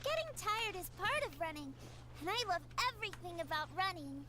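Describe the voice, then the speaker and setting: A woman's voice: very high-pitched, very animated, a little fast. An eager young running girl. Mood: proud. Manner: earnest, heartfelt. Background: game music.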